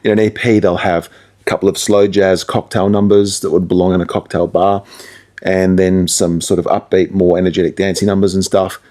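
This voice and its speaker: A man's voice: low voice, little pitch movement, fairly quick, a little mellow. An Australian man, a radio presenter and musician, chatting casually as an interview guest.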